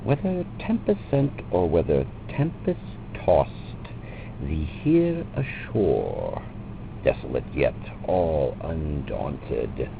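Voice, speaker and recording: A man with a gravelly voice gives a theatrical, drawn-out reading of a dark narrative poem, with long pauses. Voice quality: gravelly, very deep. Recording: muffled lo-fi recording with loud background noise.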